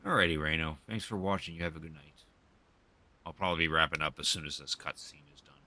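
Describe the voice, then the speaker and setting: A man's voice: very deep voice, quick pace, bright, forceful tone, no echo. A man giving casual, dry and wry commentary on a live game stream.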